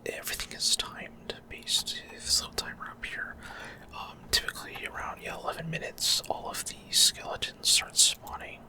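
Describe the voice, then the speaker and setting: A man whispers close to the microphone in an ASMR style as he hosts a gaming video. His delivery is fully breathy and unvoiced, with a casual, halting way of explaining what is on screen. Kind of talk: whispered ASMR gameplay commentary.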